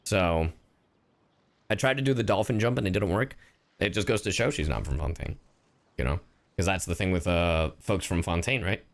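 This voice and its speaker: A man's voice: low voice, animated, normal speed, vocal fry, pauses often. A man giving casual live-stream game commentary in a slangy, profane manner.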